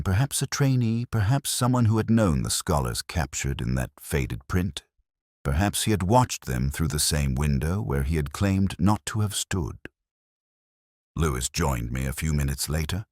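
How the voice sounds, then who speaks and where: A man narrating a scripted first-person detective story in a measured, reflective, literary manner. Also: a bass voice, no room sound, studio quality.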